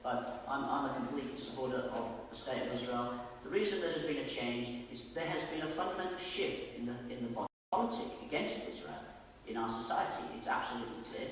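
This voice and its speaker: Man, forthright candidate, rapid talk, long reverb, faint noise. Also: mid-range voice. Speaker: parliamentary candidate. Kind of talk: debate answer at a public hustings. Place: large echoey hall. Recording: echoey hall, mic far off.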